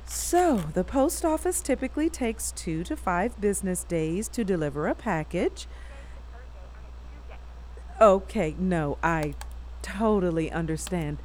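A woman's voice: on the low side, animated, a little slow, a little mellow, slight background noise, low-quality recording. A woman playing a harried young customer support worker in a naturalistic audio drama, courteous over frayed patience. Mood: forced politeness. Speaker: actor in an audio fiction podcast.

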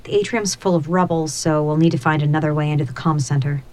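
A woman's voice: low voice, quick pace, darkish tone, close to an everyday voice. An actress playing a terse, impatient female commander of a space mission in an audio drama. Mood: brisk, commanding.

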